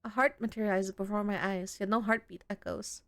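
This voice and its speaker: A woman giving expressive, sweary commentary as she plays a horror game, reading some of the text aloud. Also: medium pitch, fairly quick, no echo. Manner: expressive, sweary, reacting out loud. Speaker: video game streamer.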